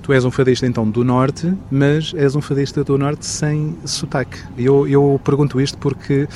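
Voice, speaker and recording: A male interviewer asks his questions in a loose, conversational way, pausing and restarting often. His voice sits in a middle range. Faint ambience hums behind him. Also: medium pitch, level tone, darkish tone, a little hiss or hum. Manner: hesitant, many fillers and restarts.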